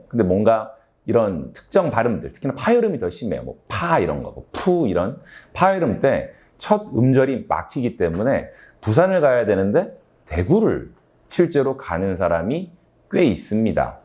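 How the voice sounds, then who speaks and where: A man with a fairly low voice talks as a doctor explaining health matters. His intonation is very lively and varied. He is conversational, often asking a question and then answering it himself. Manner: conversational, asks rhetorical questions and answers them. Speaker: ENT doctor and sleep-health show host. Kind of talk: explanatory health talk to camera.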